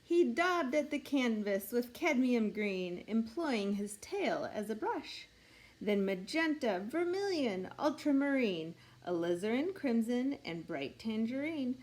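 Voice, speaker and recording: Lady doing a kids rhyming story read-aloud, voice on the high side, expressive and measured. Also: low-quality recording. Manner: measured, storytelling delivery addressed to children. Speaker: storybook reader.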